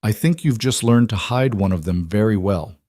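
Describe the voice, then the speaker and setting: Man playing an intense, low-voiced mafia boss. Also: expressive, normal speed, darkish tone, no echo. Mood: intense, probing. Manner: direct, earnest, probing. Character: intense, socially awkward mafia boss, direct and earnest.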